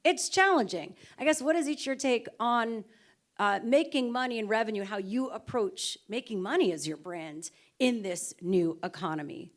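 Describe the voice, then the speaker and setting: A woman's voice: a little high, lively intonation, moderate pace. A woman moderating a summit panel in a casual way, with lots of fillers. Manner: casual, many fillers like "you know".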